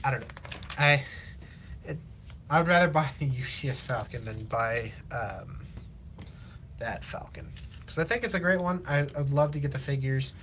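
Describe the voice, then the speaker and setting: A teenage man from Illinois, chatting casually on a live stream while he builds, rambling with lots of fillers, his voice slightly raspy from long talking. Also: medium pitch, expressive, fairly bright tone, a little hiss or hum. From Illinois, USA. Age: teens. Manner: rambling, halting, many fillers and restarts. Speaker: livestreamer.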